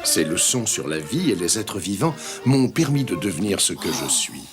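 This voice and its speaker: A man playing a grown elephant who narrates memories in a French cartoon, dignified, nostalgic and gently wry. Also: deep voice, big pitch swings, moderate pace. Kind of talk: scripted storybook narration in French-dubbed cartoon. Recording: music under the narration.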